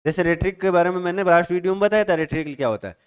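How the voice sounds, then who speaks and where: A male teacher giving an exam-prep literature lecture, explaining step by step, reading definitions slowly and checking in with his students. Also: sits high, smooth. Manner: reads English definitions slowly.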